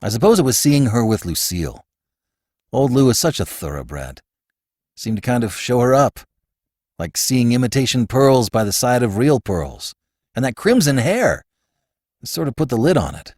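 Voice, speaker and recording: A man's voice: medium pitch, very animated, moderate pace, darkish tone, no echo, studio quality. A man reading an audiobook and voicing a gloomy, irritable young man who is troubled over a romance. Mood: brooding. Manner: gloomy and irritable, with exasperated outbursts. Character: harassed, moody young man in a romantic fix. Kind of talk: audiobook character voice.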